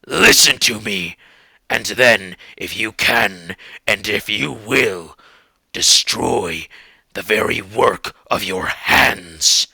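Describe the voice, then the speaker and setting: A wretched, eloquent monster, speaks in a low, heavily creaking rasp. He delivers his literary monologue slowly and theatrically, in archaic words, bitter and anguished toward his creator. The recording is close and dry. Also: lively intonation, bright, forceful tone, dry recording, full character voice. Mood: bitter, impassioned. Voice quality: gravelly, rasping.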